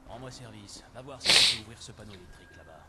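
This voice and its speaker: A man voicing a male game character calmly asking an ally for help. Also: medium pitch, quick pace, slight background noise.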